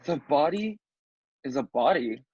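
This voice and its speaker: Husky, deadpan housemate played by a man, dull audio. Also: on the high side, measured pace, no room sound. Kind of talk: comedic script table read.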